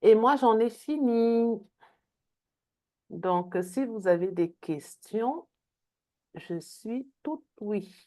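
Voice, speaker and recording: A woman's voice: a little high, expressive, very slow, pauses often, dark tone. A woman giving a product training on a video call, with frequent hesitations and repeated words. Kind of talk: online training talk.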